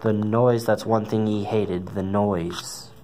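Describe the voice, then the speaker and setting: A man's voice: lowish voice, steady tone, slow, mellow. A man reading a children's story aloud, stumbling now and then over the words. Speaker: storybook reader. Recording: noisy amateur recording.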